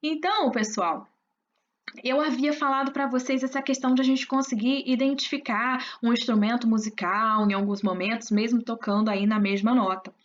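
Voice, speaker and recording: A woman's voice: fairly high pitch, fairly even intonation, moderate pace. A woman teacher explaining a science lesson, talking straight to her students and checking they follow along. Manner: explanatory, addresses students with frequent check-ins. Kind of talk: recorded class explanation. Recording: dull lo-fi recording.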